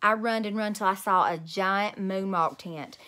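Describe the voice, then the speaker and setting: A woman's voice: mid-range voice, unhurried, bright, forceful tone. A woman in her thirties reading a storybook aloud expressively as a host for young children. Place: small home room indoors.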